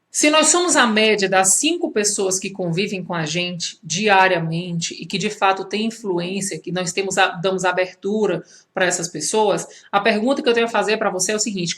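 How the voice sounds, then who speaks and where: A woman's voice: mid-range voice, fairly bright tone. A woman in her thirties from Fortaleza with a Cearense Brazilian accent, talking in a conversational teaching style, asking rhetorical questions and restarting her phrases. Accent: Cearense Brazilian Portuguese. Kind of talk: teaching monologue to camera.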